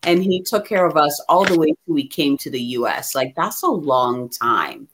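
A woman from Ghana talking candidly and reflectively about herself as a guest, in an expressive conversational way. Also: deep voice, moderate pace, background noise. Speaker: guest receiving a card reading.

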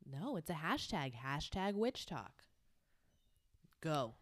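A young woman from Texas co-hosting a casual podcast, playful and animated, swinging between loud and soft. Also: low-pitched, slow, no echo, clean studio sound. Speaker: podcast co-host. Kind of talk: casual chat.

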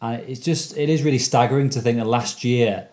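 A man's voice: medium pitch, brisk, darkish tone. A man on a fan podcast, talking through a football match in an earnest, analytical way. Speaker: podcast co-host. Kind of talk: casual fan podcast discussion.

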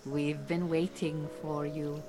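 A woman playing a firm, measured beekeeping teacher in an audio drama, giving instructions. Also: sits low, flat delivery, noisy background. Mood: quietly pleased.

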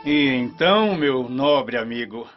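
An actor playing a cordial, stately Roman emperor in a radio drama, formal and hearty. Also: male voice, mid-range voice, expressive, slow. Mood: genial. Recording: muffled radio drama with faint music.

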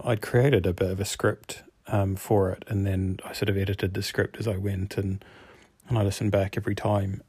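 A man's voice: lowish voice, a little mellow, breathy edge, no echo. A man with a New Zealand accent hosting his own podcast, musing earnestly and unscripted, thinking aloud with hesitations. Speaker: podcast host, fitness coach.

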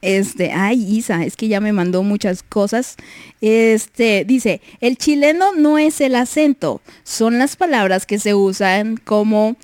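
A woman's voice: medium pitch, takes it slowly, darkish tone, dry recording. A young Mexican woman hosting a livestream, chatting casually, reading messages and giggling often. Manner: giggly, chatty, many fillers. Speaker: livestream host.

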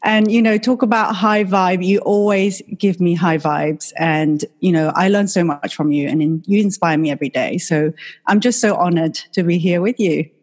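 A woman's voice: lowish voice, moderate pace, darkish tone. A middle-aged woman from Taiwan, a native Mandarin speaker, talking as a doctor guest on a podcast in a friendly, reflective way with fillers. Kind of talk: casual podcast interview.

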